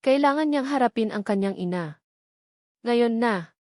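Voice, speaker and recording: A woman's voice: a little high, expressive, a little slow, a little mellow, dry recording, studio quality. A woman reading a scripted, dramatic story as its narrator.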